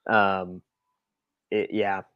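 Man, very dry sound, lowish pitch, casual sports chat, podcast co-host. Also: flat delivery, drawn-out words, clear, resonant tone, no echo, lo-fi sound.

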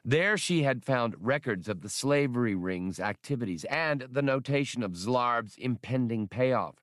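A man's voice: mid-range voice, expressive, unhurried, fairly bright tone, dry recording, studio quality. A man reading a science fiction novel as scripted narration. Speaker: audiobook narrator.

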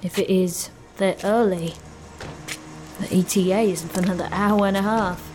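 Policewoman played by a woman, audio drama: official, no-nonsense, medium pitch, light music underneath. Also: steady tone, normal speed, close to an everyday voice. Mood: brisk, official. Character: brisk, no-nonsense policewoman guarding a crime scene.

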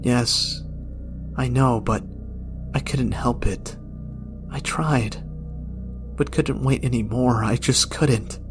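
A man voicing a bitter, remorseful dying old man in his later years, desperate, loud and pleading. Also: mid-range voice, steady tone, takes it slowly, long pauses, over music, close to an everyday voice. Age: older.

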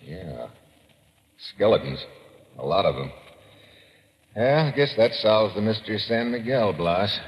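An actor playing a tough, wry adventurer in an old radio drama, talking in terse, hard-boiled slang. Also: male voice, lively intonation, a little slow, long pauses. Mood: grim, subdued. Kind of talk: 1950s radio drama acting. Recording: old radio recording, muffled, boomy reverb, hiss.

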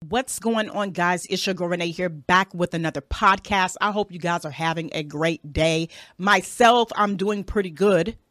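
A woman's voice: on the low side, moderate pace, hardly any pauses, fairly bright tone, dry recording, clean studio sound. A woman in her thirties hosting a solo podcast, giving blunt, profane advice and often tacking "okay?" onto her points. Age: 30s. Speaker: podcast host. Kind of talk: casual advice monologue.

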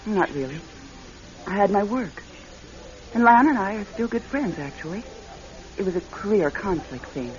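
A muffled classic radio recording carries an actress playing a reserved career woman. Her voice sits at a middle pitch. She is polite and guarded, whether talking with someone or telling her own story as narrator. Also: lively intonation, normal speed, long pauses, natural voice. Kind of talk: classic radio drama acting and first-person narration. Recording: dull, lo-fi old radio recording.

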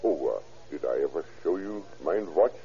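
An actor playing a stammering, sly scientist who turns to murder, in an old radio drama. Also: male voice, sits low, lively intonation, moderate pace, mellow. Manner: stammering, sly, with menacing chuckles. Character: sinister German scientist, middle-aged man, murderous. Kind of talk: 1940s radio acting. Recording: dull old radio recording.

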